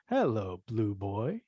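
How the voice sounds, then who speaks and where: A man's voice: fairly low pitch, mellow, husky, dry recording, studio quality, voice pushed down, full character voice. Man as a smug, taunting villain mastermind. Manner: mocking, condescending. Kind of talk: amateur script table read.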